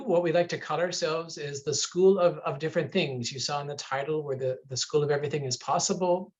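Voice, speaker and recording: Man, university recruiter on a video call, slightly high voice, chatty and upbeat delivery. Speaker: university recruiter presenting a webinar. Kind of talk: presenting slides on a video call.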